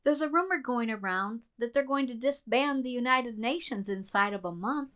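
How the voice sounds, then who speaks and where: A woman's voice: on the high side, expressive, normal speed, a bit bright, clear, resonant tone. A woman in a community radio play, playing an earnest assistant code breaker in a conversational way. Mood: musing. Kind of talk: community theater radio drama dialogue.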